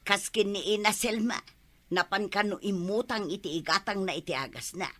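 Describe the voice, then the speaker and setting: A woman's voice: mid-range voice, moderate pace, fairly bright tone, close to an everyday voice. A woman with an Ilocano accent acting a practical, scolding wife of a poor villager in a Filipino radio comedy drama. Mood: prodding.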